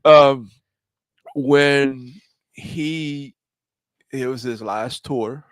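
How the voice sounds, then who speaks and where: A man telling stories casually on a podcast, often halting and searching for his words. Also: medium pitch, no room sound, low-quality recording. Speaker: podcast co-host. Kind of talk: casual storytelling on a live podcast.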